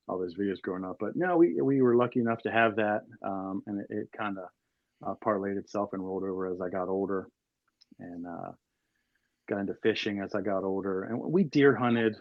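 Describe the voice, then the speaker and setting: A man in his forties from Maryland rambles through his stories with plenty of fillers. His voice sits a bit low and sounds dull and lo-fi, with a dry, close feel. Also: no room sound. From Maryland, USA. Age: 40s. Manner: rambling storyteller, many fillers. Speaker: podcast guest. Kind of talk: casual chat.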